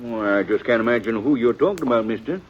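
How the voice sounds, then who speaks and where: A man's voice: mid-range voice, lively intonation, fast talker, lightly acted. An actor playing a chatty, folksy frontier wagon peddler with a rural Western American accent and an eager salesman's patter, in 1950s radio western style. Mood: flustered. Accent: rural American West. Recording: muffled, noisy old radio recording.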